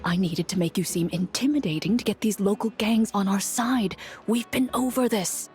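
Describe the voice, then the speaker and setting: A woman playing a brisk, dry, easily exasperated lieutenant to a gang boss in a video game. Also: mid-range voice, a little mellow, soft background music, lightly acted. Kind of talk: video game character dialogue.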